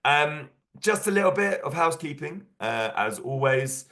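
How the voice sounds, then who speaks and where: A man with a croaky voice from a cold, hosting an online workshop over a video call in a casual way with lots of fillers. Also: fairly high pitch, lively intonation, moderate pace, sharp tone. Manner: casual, filler-heavy, upbeat. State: hoarse from a cold. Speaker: workshop host.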